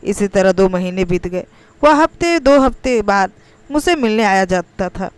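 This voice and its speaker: A woman's voice: medium pitch, animated, moderate pace, smooth, no room sound. A woman reading a scripted, first-person emotional story as its narrator.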